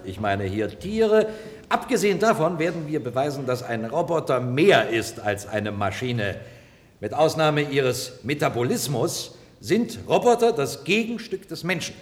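Male actor as combative defence lawyer, lively swooping intonation, rhetorical courtroom oratory, radio drama, long hall reverb. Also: mid-range voice, a little hiss or hum, natural voice. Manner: rhetorical, emphatic, formally argumentative.

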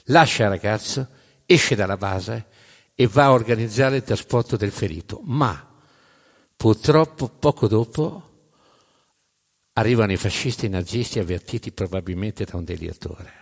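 A man narrating a story on stage in dramatic spoken-word style, emphatic, with deliberate pauses and repeated phrases. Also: lowish voice, gravelly. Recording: reverberant hall live recording.

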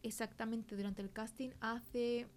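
A woman's voice: fairly high pitch, little pitch movement, measured pace, slight background noise. A young woman with an accent from Spain, telling her experience conversationally as a podcast guest. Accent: Spain Spanish. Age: young adult. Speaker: podcast guest, film producer. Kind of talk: conversational testimony.

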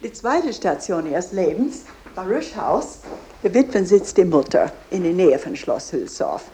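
A woman's voice: on the low side, sing-song, unhurried, dark tone, slight background noise. A woman as a museum guide, addressing visitors directly and explaining in a didactic way. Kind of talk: explanatory guided-tour talk.

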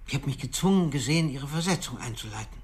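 An actor playing a formal, coolly authoritative institution director in a radio drama, measured and firm, with a husky voice. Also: male voice, mid-range voice, expressive. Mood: stern, formal.

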